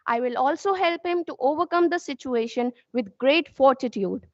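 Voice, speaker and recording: A woman's voice: sits high, normal speed, dry recording. A young woman with an Indian accent, a student giving an earnest, formal competition speech with occasional restarts. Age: young adult. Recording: dull dry lo-fi recording.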